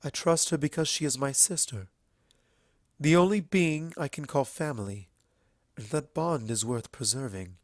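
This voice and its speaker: A man voicing a kind, earnest female alicorn pony princess in a storybook reading, speaking in a solemn, measured way. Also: mid-range voice, dry recording, clean studio sound, voice pushed up, close to an everyday voice. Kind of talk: fan fiction storybook reading.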